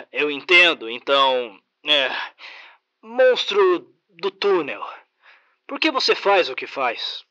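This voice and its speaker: A man voicing a calm male researcher who reasons patiently with a creature. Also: a little high, slow pace, no echo, lo-fi sound, full character voice.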